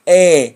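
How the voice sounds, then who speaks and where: A man with a Nigerian accent giving opinionated commentary, animated and mocking, slipping into pidgin. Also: high-pitched, breathy edge. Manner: animated, switches into pidgin and mimics people.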